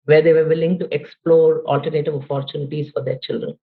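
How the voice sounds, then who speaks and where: A low-voiced Indian woman in her late forties talks thoughtfully as a podcast guest and often pauses with "you know". Her voice comes through a narrow, tinny phone-like line. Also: level tone. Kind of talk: conversational interview.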